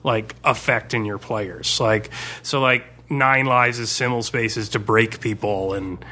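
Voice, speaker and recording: A man's voice: medium pitch, steady tone, measured pace, pushed and bright. A man in casual, sweary conversation, explaining things informally as a tabletop game master. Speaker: tabletop game master and podcast guest discussing his campaign. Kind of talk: casual chat.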